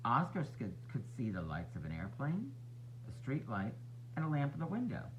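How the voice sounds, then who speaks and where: A man narrating a children's storybook as a teacher would, expressive and instructive for young listeners. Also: medium pitch, normal speed, noisy background. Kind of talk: children's read-aloud narration.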